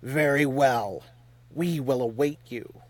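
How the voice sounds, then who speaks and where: A man's voice: medium pitch, slow pace, a little mellow. A man voicing a cold, impatient male envoy in an audiobook, speaking in a clipped, icy way.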